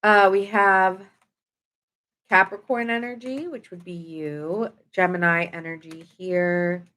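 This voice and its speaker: Bright-voiced chatty woman reading tarot. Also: on the low side, steady tone, slow pace. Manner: chatty, rambling, lots of fillers and self-corrections. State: stuffy nose. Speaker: tarot reader. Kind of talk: casual livestream tarot reading.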